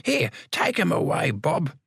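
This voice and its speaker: A man voicing a stubborn, toothless old man in his nineties with a shrill, piping voice. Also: big pitch swings, measured pace, gravelly, a bit bright, husky, dry recording, studio quality, exaggerated voice. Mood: cantankerous. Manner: stubborn, cantankerous.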